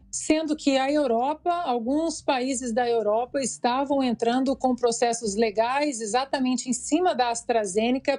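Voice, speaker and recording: A slightly hoarse woman, giving indignant, sarcastic political commentary on a news talk show. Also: high voice, darkish tone. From Brazil.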